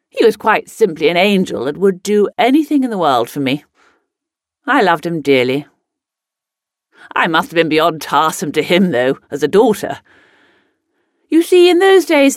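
A spirited upper-class lady explorer reminisces in a scripted monologue. Her voice sits a little low and moves with lively, emphatic swings, genteel yet headstrong. The sound is dry, with no room around it. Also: no room sound. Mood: fond, wistful, then spirited, frustrated. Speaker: actor reading a monologue. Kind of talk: scripted first-person dramatic monologue.

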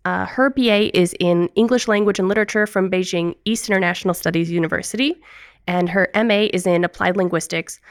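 A woman's voice: mid-range voice, dry recording, clean studio sound. Upbeat American woman hosting a podcast. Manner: upbeat, enthusiastic. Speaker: podcast host. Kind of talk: podcast interview.

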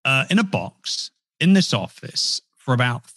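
A man's voice: mid-range voice, very animated, unhurried, bright, forceful tone, no echo. Man, podcast guest, casual rambling talk, dry close mic. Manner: chatty, self-deprecating, rambling with restarts.

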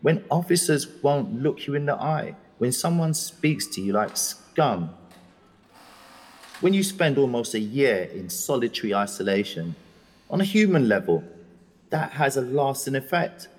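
An actor playing an educated middle-aged prisoner, reflective and indignant, in an articulate, confiding audio drama monologue. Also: male voice, mid-range voice, very animated, a little slow, long reverb tail. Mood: indignant, weary.

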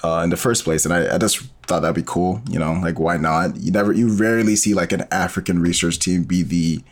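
A man with a very low-pitched voice, talking as a guest in a relaxed interview format. He explains his creative work informally, thinking aloud and building his point in loose, rambling steps. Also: fairly quick. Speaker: interview guest, sci-fi audio storyteller. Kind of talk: casual conversational interview.

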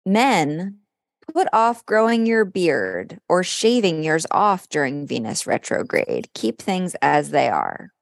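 A woman's voice: fairly low pitch, big pitch swings, slow pace, vocal fry, dry recording, studio quality. A woman co-hosting a podcast in casual chat, reading horoscopes aloud in a conversational way with some dramatic delivery. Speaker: podcast co-host.

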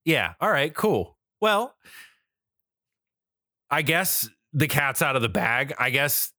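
Male podcast host, casual and informal, bright forceful delivery, dry close sound. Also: medium pitch, very animated, slow pace, dry recording. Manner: informal, frequently swearing.

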